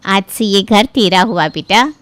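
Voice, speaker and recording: A woman voicing a gentle middle-aged mother-in-law in a cartoon, measured and motherly as she instructs. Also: medium pitch, lively intonation, dry recording. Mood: kindly instructing. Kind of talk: cartoon voice acting.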